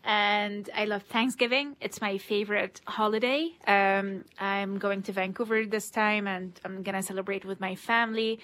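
Bright-voiced, eager woman co-host. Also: mid-range voice, steady tone, normal speed, few pauses. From Syria. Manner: enthusiastic, gushing. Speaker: radio show co-host. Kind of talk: casual talk radio.

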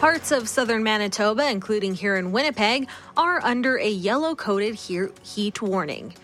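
A woman's voice: medium pitch, lively intonation, normal speed, a bit bright, faint music behind. A woman reading scripted news and weather for radio. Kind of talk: scripted broadcast newsreading.

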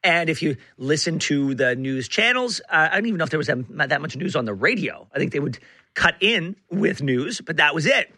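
Bright, slightly hoarse male radio host. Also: on the high side, big pitch swings, brisk, dry recording, clean studio sound. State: hoarse, rough voice from being unwell. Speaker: radio talk show host. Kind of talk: talk radio monologue and banter. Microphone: close studio broadcast mic.

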